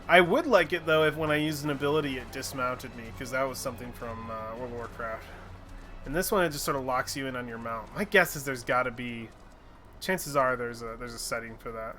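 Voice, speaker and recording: A man's voice: medium pitch, fairly quick, a bit bright, faint music behind. A man streaming a fantasy game, chatting casually, joking, swearing and reading quest lines aloud. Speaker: gaming streamer. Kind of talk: casual gameplay commentary.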